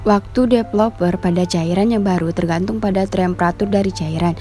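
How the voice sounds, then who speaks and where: A woman with a mid-pitched voice reads a scripted instructional narration. Her delivery is formal and read-out, with soft music playing behind her. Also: fairly even intonation, brisk.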